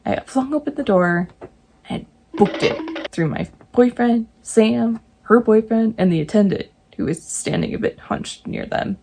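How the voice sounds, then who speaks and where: A woman reading true ghost stories aloud, breaking in now and then with jokey, animated asides. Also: a little high, unhurried, a little hiss or hum, low-quality recording. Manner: animated, jokey asides between read passages.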